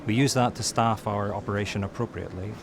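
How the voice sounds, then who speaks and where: A middle-aged man gives a measured interview statement as a spokesman. His voice is on the low side, with clear outdoor urban noise behind him. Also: fairly even intonation. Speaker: company spokesman.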